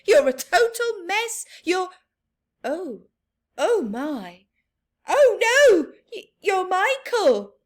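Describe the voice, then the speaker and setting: A woman's voice: very high-pitched, very animated, very slow, pitched above the performer's own voice, heavily acted. A woman voicing a scolding, horrified mother in a children's story, exclaiming indignantly. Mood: shocked.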